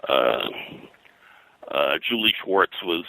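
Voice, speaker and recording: An older American man reminiscing as an interview guest, in a halting, conversational way full of verbal filler. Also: low voice, sing-song, creaky, sharp tone, no echo, low-quality recording. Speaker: interview guest, veteran comics colorist.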